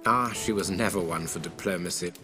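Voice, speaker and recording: An actor playing a learned older gentleman in a video game, dignified, wry and wistful. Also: deep voice, brisk, over music, natural voice. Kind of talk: video game cutscene acting.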